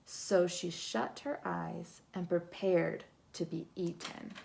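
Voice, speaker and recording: A woman reading a picture book aloud to children in an expressive, dramatic way, moving between hush and big swells. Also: on the low side, slow, vocal fry, slight background noise. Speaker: storybook reader.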